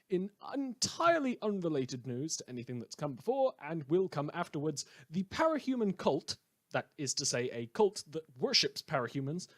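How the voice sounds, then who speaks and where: A man playing a chatty, blithely amoral small-town radio host who rambles and corrects himself through a satirical news broadcast. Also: fairly high pitch. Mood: wry, flippant. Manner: rambling, cheerfully flippant, self-correcting.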